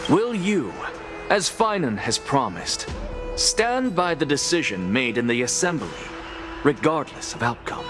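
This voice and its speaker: An actor playing a composed male scholar sage who speaks in a measured, formal way in a game cutscene. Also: mid-range voice, very animated. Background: game music.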